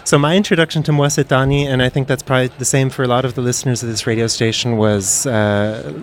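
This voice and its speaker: A young man hosts a live radio interview. He is chatty and relaxed and laughs often between his questions. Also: medium pitch, fast, slight background noise. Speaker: radio host.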